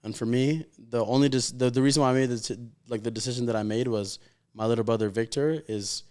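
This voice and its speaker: A man's voice: medium pitch, steady tone, fast, a little mellow, smooth. A man, chatting casually and earnestly in an interview, with informal slang and lots of "like". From raised in Calgary, Canada. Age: 20s. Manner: informal, slangy, heartfelt, heavy use of "like". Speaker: professional footballer, interview guest.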